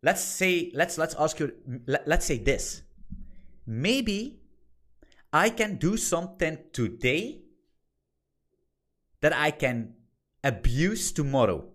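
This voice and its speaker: A man's voice: fairly high pitch, big pitch swings, slow, pauses often, a bit bright. A man in his thirties whose first language is Dutch, teaching an informal online course in a blunt, swearing manner and repeating his points for emphasis. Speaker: course instructor. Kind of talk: informal screen-share lesson.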